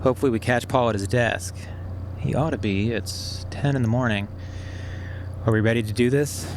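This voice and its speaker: Over some background noise comes an actor as a sharp male schemer in an audio drama. His voice sits at an ordinary middle pitch. He lays things out conversationally and methodically, like a man thinking through a plan. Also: moderate pace, close to an everyday voice. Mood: focused, conspiratorial. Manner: explanatory, conversational. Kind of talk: naturalistic audio drama acting.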